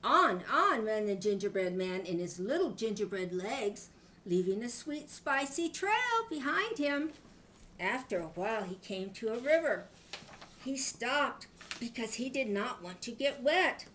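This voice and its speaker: An older woman, a grandmother, reading a children's story aloud in an animated style. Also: a little high, unhurried, a little hiss or hum.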